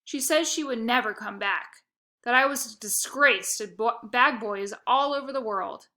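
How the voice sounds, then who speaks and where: A woman reading a children's novel aloud in the first person, expressive and playful. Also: fairly high pitch, measured pace. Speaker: narrator.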